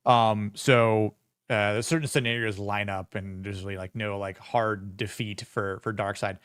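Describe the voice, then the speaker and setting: A man with a low, smooth voice talks casually on a livestream, wandering through his thoughts with lots of fillers and restarts. The sound is dry and close, with no room around it. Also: steady tone, measured pace, fairly bright tone, no echo. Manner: rambling, many fillers and restarts. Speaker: streamer and show host.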